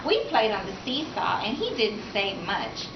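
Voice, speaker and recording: A woman voicing a cheerful, outspoken, warm-hearted young girl, chatty and childlike, in a storybook read-aloud. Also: medium pitch, very animated, moderate pace, natural voice. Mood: chatty, frank. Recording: echoey room from far, noisy, dull.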